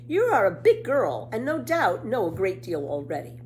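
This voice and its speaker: A woman's voice: medium pitch, huge pitch swings, moderate pace, darkish tone. A kindly storybook schoolteacher, acted out by a woman reader, polite and encouraging. Her intonation rises and falls expressively. Faint noise sits behind the voice. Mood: patient, kindly. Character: pleasant, patient, friendly female schoolteacher. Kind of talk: children's storybook character voice.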